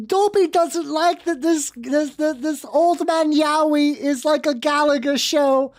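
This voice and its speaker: A woman's voice: sits high, takes it slowly, a little mellow, dry recording, pitched above the performer's own voice. A woman voicing a meek, miserable male house elf who pleads and refers to himself in the third person, in a comic fanfiction reading. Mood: pleading, distressed.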